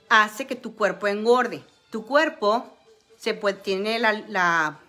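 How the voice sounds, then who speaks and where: A woman's voice: a little high, sharp tone. A woman, chatting casually to a phone camera at home about wellness products, with many fillers. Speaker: beauty and wellness vlogger. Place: small room at home, indoors. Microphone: phone camera at arm's length.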